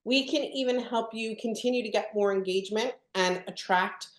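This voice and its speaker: Upbeat woman demoing software, echoey room. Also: on the high side, moderate pace. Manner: upbeat, asks the audience frequent questions. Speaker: product presenter. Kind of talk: live webinar product demo.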